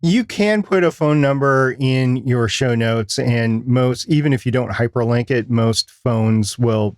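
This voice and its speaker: Middle aged guy chatting on a podcast, plain spoken, average pitch, no room echo. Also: a little mellow, clean studio sound. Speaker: podcast co-host. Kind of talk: casual podcast discussion.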